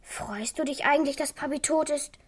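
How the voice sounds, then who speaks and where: A woman's voice: high voice, moderate pace, close to an everyday voice. A female performer playing a defiant, bitter twelve-year-old girl in a radio drama, blunt and stubborn in manner. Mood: defiant, hurt. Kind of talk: German radio crime drama acting.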